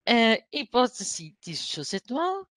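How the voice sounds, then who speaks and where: A woman's voice: fairly high pitch, sing-song, unhurried, a bit bright, dry recording. A woman in her thirties hosting an informal video call panel and presenting the guests. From lives in Mexico. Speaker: host.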